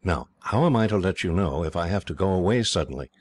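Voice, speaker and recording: A hearty young English officer talks in a very deep, steady voice, as a man reads him. He sounds cheerful and reassuring, laying out plans calmly like a storybook hero. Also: fast, no echo.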